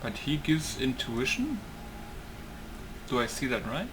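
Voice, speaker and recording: A man's voice: mid-range voice, big pitch swings, measured pace, noisy background. A man giving casual let's play commentary, thinking aloud in a musing way. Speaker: gaming YouTuber.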